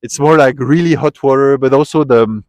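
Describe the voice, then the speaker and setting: Man, interviewee, mid-pitched, reflective and halting, dry close mic. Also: a little mellow, no room sound. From Switzerland. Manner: thoughtful, reflective, trailing off and restarting. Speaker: interviewee, tourist. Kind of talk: casual street interview. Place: outdoors at a memorial site.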